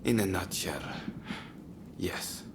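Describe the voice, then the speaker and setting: Low-voiced, stern samurai captain. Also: male voice, animated, slow, background noise. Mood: quiet, serious. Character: stern, watchful samurai captain keeping order. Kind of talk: English game voice acting, cutscene dialogue.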